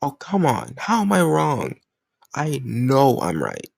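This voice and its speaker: Man playing a cocky, gravelly flirt. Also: mid-range voice, huge pitch swings, dry recording, studio quality, full character voice. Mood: smug, flirtatious. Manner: teasing, cajoling, smug, drawn-out words. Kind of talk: intimate romantic audio roleplay.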